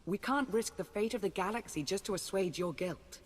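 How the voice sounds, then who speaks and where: A man's voice: high-pitched, fairly quick. A man playing a cold, pragmatic spymaster in a video game, firm and unsentimental. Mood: stern. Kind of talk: dramatic video game acting. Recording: long reverberant hall sound.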